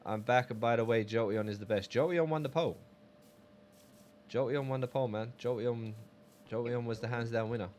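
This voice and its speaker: A man's voice: medium pitch, normal speed, darkish tone, a little hiss or hum. A man chatting casually on a game live stream, reading viewer messages aloud.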